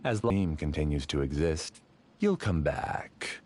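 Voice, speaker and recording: An arrogant villain with swooping intonation. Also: male voice, a bass voice, lively intonation, measured pace, a little mellow, slight background noise, exaggerated voice. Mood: smug, dismissive. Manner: theatrical, haughty. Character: arrogant male villain, master of a dream, obsessive. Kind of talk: video game voice acting.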